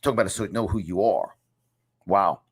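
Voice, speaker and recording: A man's voice: low voice, normal speed, husky. A man in his fifties with a New York accent, giving a motivational success lesson to camera from a small home studio, emphatic and repeating phrases for effect. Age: 50s. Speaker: success coach.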